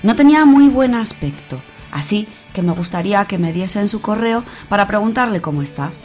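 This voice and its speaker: A woman's voice: medium pitch, lively intonation, brisk, darkish tone, faint music behind, lightly acted. Woman playing an earnest young Spanish woman. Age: young adult. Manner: polite, earnest. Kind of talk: amateur radio drama, reading a letter.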